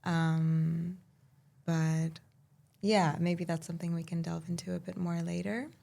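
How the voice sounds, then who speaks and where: A woman from Turkey and Cyprus, raised in Saudi Arabia, speaking as a poet guest in a relaxed interview and pausing often to think. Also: fairly low pitch, creaky. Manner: thoughtful, pauses often with "um". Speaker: podcast guest, spoken word poet. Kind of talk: casual conversational interview.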